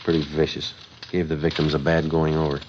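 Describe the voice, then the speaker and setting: An American actor playing a weary, laconic homicide detective in a 1950s radio police drama, clipped and deadpan. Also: male voice, rumbling low, a little fast. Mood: flat, matter-of-fact. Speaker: lead actor and narrator. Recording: noisy, dull 1950s radio recording.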